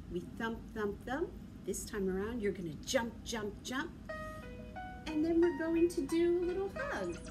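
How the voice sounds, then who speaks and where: Animated high-pitched woman leading children's story time. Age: middle-aged. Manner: animated, encouraging, simple instructions to children. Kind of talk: children's sing-along and rhyme session, talking to young children. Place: indoor library room with large windows. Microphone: camera a few feet away. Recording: clearly noisy room ambience.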